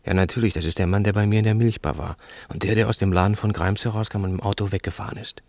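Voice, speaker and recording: An actor playing an earnest, self-assured snack bar owner and eyewitness in a German radio play, eager and insistent. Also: male voice, lowish voice, steady tone, fast, vocal fry, a little mellow, no echo. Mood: certain.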